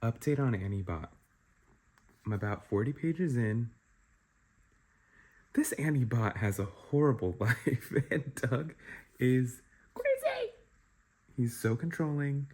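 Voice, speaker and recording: A man talking casually as a book vlogger, reacting in an animated, slangy, emphatic way. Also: medium pitch, unhurried, long pauses. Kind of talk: casual live reading-reaction vlog.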